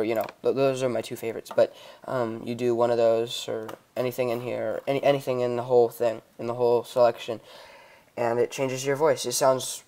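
Even-toned young man, clear voice. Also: medium pitch. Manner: hesitant, improvised explaining. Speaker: tutorial host. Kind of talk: casual screen-recorded software tutorial. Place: indoors, voice-over on a computer screen recording.

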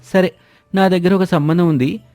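A man voicing a wily, persuasive village matchmaker in a coaxing, drawn-out way for an animated moral story. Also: high voice, soft background music, pitched above the performer's own voice.

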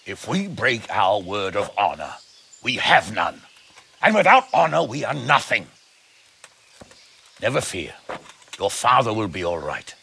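A proud knightly lord from a video game, formal and commanding. His voice is gravelly and forceful, swinging widely in pitch, in a long echoing space. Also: sing-song, unhurried, long pauses, full character voice. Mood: solemn. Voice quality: gravelly, rough. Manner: formal, authoritative, declamatory. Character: proud, honour-bound commanding nobleman knight. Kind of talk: video game cutscene acting.

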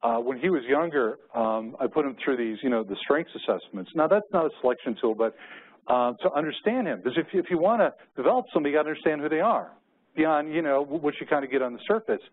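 A man's voice: on the high side, lively intonation, fast. Tinny-sounding American man giving a keynote. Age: middle-aged. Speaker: keynote speaker. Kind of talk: conference presentation with personal anecdotes. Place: conference room with windows. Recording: muffled, phone-like narrow-band audio.

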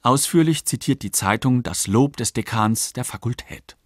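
A man narrating a scripted documentary in a factual, measured way. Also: mid-range voice, no room sound, clean studio sound. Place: dry studio.

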